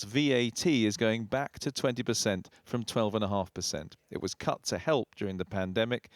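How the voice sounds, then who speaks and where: A man's voice: fairly low pitch, no echo, clean studio sound. British male news reporter. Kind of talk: scripted news narration.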